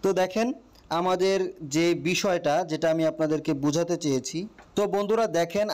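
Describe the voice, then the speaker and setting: Casting: Bangladeshi male tutorial host, slightly high voice, casual and explanatory, guiding step by step and checking in often. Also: darkish tone.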